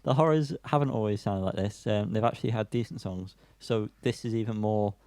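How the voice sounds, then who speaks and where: Male podcast co-host, lower-pitched, informal and slightly sweary, very dry recording. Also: brisk, a little mellow, no echo. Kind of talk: casual music review chat.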